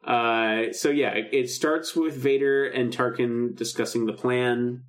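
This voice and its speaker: A man's voice: medium pitch, steady tone, measured pace. A man co-hosting a podcast in casual, enthusiastic chat, often slipping into acted-out quotes. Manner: enthusiastic, acts out quoted dialogue. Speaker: podcast co-host.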